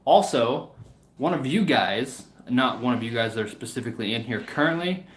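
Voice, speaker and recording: A man's voice: mid-range voice, animated, moderate pace. Man chatting casually in an echoey room. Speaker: livestream host, musician. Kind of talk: casual livestream chat with viewers. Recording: roomy, echoing room sound.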